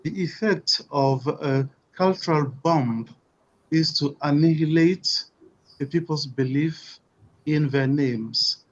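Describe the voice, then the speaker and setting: A man giving an academic lecture at a webinar, measured and dotted with "you know" and "uh". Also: a little high, lo-fi sound. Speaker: webinar speaker. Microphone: video call.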